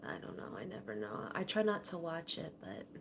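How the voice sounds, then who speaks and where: Woman, casual livestream host, gravelly fry, soft rambling chat, home kitchen. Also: low-pitched, sing-song, a little fast, noisy background. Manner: soft-spoken, rambling, trails off quietly. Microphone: camera on a tripod.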